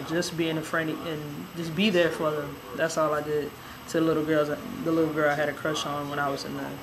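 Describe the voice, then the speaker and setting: A young woman with a raspy, hoarse voice telling a personal story in a relaxed, rambling way with many fillers. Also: low-pitched, noisy background. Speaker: vlogger. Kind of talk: casual personal storytelling to camera.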